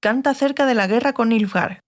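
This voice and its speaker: An actress playing a stern, sardonic sorceress, curt and authoritative, in a dramatized fantasy audiobook. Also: female voice, on the high side, fairly quick, natural voice. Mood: stern, insistent. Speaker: audiobook actor.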